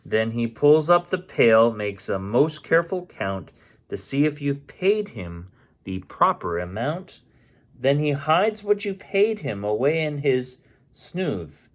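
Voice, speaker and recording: A man reading a rhyming children's storybook aloud as a bedtime story host, with an expressive, unhurried delivery and the odd stumble. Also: medium pitch. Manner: unhurried, stumbles and corrects himself.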